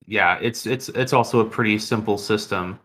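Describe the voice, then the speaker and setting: A man's voice: lowish voice, normal speed, fairly bright tone. A man co-hosting a podcast, chatting casually with his guest and fellow host.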